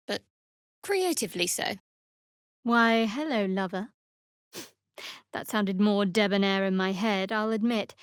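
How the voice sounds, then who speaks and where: Game role: secretive young female cleric, sardonic and guarded, lightly high register, very dry studio sound. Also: no echo. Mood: observing, then wry, dry. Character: guarded, wry young woman cleric of a dark goddess. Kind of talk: fantasy video game voice acting.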